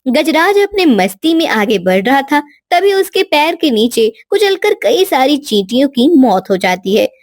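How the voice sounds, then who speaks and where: A woman's voice: high voice, big pitch swings, unhurried, hardly any pauses, no room sound. A woman giving scripted narration for a children's moral story.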